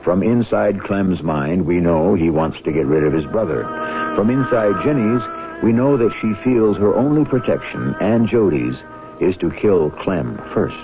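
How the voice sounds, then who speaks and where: A man's voice: low voice, animated, a little slow. A man hosting a radio drama with dramatic scripted narration. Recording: tinny band-limited 1970s radio broadcast, music.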